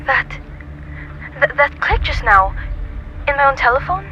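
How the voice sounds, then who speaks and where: A woman's voice: high-pitched, sing-song, a little slow, bright, forceful tone, highs cut off, a little hiss or hum, heavily acted. A woman playing an anxious, demanding bedridden wife who fears murder, in a fretful, melodramatic suspense radio play style. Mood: upset, alarmed. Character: anxious, imperious bedridden wife alone at night, fearing murder.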